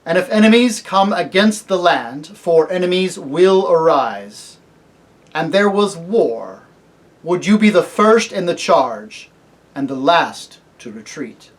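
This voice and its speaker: A man voicing a great talking lion in a storybook, kingly and solemn, with a grave, measured, commanding manner. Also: fairly high pitch, lively intonation, pitched above the performer's own voice. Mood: grave, questioning. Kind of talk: storybook character reading.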